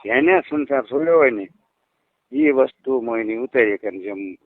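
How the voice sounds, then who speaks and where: A man talks a caller through a ritual over the phone, reassuring and instructive, checking often that she understands. His voice comes through a tinny phone line with no room sound. He sits at a middling pitch. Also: lively intonation, moderate pace, dry recording. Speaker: ritual healer advising a caller. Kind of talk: phone call.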